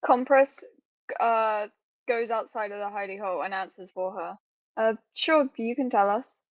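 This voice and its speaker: A woman's voice: medium pitch, normal speed, no room sound, dull audio. A woman playing a tabletop role-playing game, speaking for her character.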